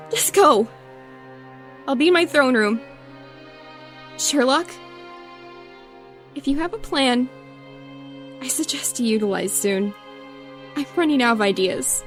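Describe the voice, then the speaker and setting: A woman playing a gentle, anxious, loving pony princess in a cartoon fan drama, regal but pleading. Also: high-pitched, expressive, takes it slowly, pauses often, heavily acted. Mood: distressed. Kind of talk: animated fan drama acting. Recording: music under the voice.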